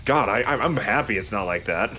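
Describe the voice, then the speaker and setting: A man's voice: fairly low pitch, expressive, fairly quick, bright, forceful tone. A man giving casual, conversational video game commentary as a let's play host, explaining as he goes. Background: game music.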